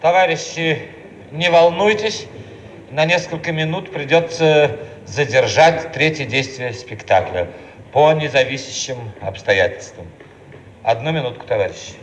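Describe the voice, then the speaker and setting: An actor playing an anxious, obliging theatre director who speaks apologetically and hesitantly, in a theatrical radio comedy style. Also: male voice, on the high side, a little slow, close to an everyday voice. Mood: apologetic. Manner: apologetic, hesitant, eager to please. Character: anxious, obliging male theatre director placating important officials. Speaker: stage director character. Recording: echoey, distant, noisy old radio recording.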